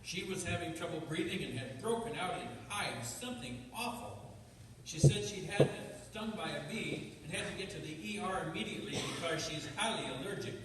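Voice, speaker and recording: Friendly small-town pastor played by a man, folksy and chatty, higher voice, radio play, heard from afar. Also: lively intonation, a little fast, lightly acted. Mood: explaining. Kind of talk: comedic stage radio play acting. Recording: noisy, distant, reverberant hall.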